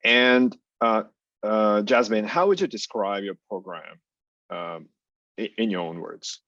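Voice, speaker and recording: A man's voice: on the low side, slow, fairly bright tone, dry recording. A man interviewing a student guest, hesitant with frequent "uh" fillers. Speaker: interviewer host. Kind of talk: hosted interview. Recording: dry, dull lo-fi sound.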